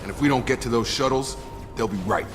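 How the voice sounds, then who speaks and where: A man playing a steady, plain-spoken soldier and security chief in a video game. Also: medium pitch, quick pace, natural voice. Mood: urgent. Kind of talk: video game character acting. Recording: noisy battle ambience behind.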